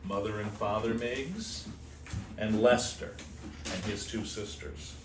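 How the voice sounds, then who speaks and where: A man reading a children's storybook aloud expressively, in a playful manner with casual asides. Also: on the low side. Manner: unhurried, animated, playful. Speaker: host reading a picture book aloud to shelter kittens. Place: indoor room at an animal shelter. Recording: roomy, distant mic with faint noise.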